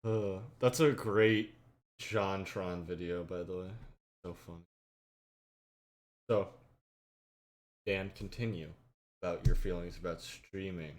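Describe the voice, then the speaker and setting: A man's voice: sits low, fairly even intonation, takes it slowly, long pauses. Male host, casual banter, laughs a lot. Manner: joking, laughs often. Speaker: streamer and podcast host. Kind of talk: casual chat.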